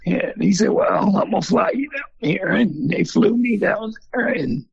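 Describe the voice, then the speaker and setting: An older man with a husky, gravelly voice, telling folksy stories in an interview. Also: high voice, a little slow, dry recording, dull audio. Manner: folksy, animated storyteller. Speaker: museum founder and former country singer. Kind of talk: storytelling in an interview.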